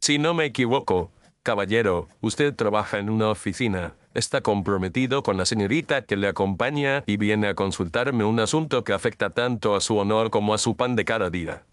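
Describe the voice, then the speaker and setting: Man playing composed low-voiced Victorian detective. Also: smooth, no room sound, natural voice. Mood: composed, incisive. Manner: measured, formal, incisive. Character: composed, astute Victorian male detective, courteous but commanding. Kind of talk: audio detective story dialogue.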